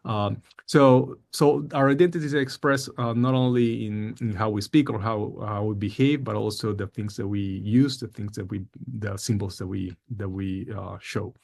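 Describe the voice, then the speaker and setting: A middle-aged man from Peru giving an academic presentation on his research project, halting often with fillers and repeated words. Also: fairly low pitch, fairly even intonation, creaky, darkish tone.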